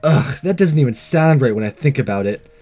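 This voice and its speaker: A man's voice: mid-range voice, lively intonation, brisk, dark tone. A man hosting a let's play, reading a visual novel aloud with casual commentary.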